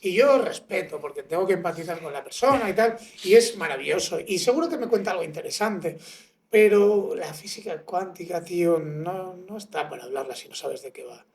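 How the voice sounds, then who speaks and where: Woman host, lower voice, frank and informal interviewer on a podcast mic. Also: animated, a little fast, darkish tone. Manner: frank, informal, says tío. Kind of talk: casual interview chat.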